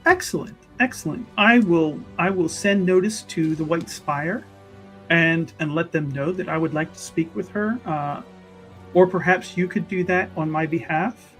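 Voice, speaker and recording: A man's voice: on the high side, unhurried, faint music behind, lightly acted, low-quality recording. A man voicing a polite, fussy, well-traveled Chantry woman investigator in a tabletop game, speaking formally and hesitantly with repeated words. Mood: pleased, courteous. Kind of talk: tabletop roleplay dialogue.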